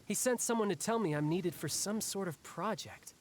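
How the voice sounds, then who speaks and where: A man plays a cocky, restless young warrior from an anime game. His voice is on the higher side for a man, boyish and casual with a wry edge. There is faint noise in the background. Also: normal speed, close to an everyday voice. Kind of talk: anime video game voice acting.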